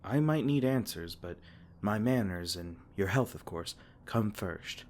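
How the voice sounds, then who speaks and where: A man voicing a gentle, protective male fae king in an ASMR comfort roleplay, soft-spoken and tender, stumbling over his words now and then. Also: on the low side, animated, measured pace. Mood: tender, soothing.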